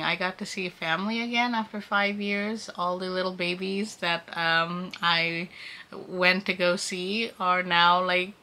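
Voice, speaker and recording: A woman's voice: fairly low pitch, pushed and bright. A woman in her thirties chatting casually to camera in a vlog, giggly and filling her talk with "like". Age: 30s. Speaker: vlogger.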